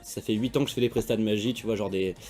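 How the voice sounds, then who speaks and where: Fast-talking young French man, slangy. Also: fairly low pitch, fairly even intonation, darkish tone, soft background music. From France. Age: young adult. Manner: fast slangy rambling talk. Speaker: magician guest. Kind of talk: casual interview chat.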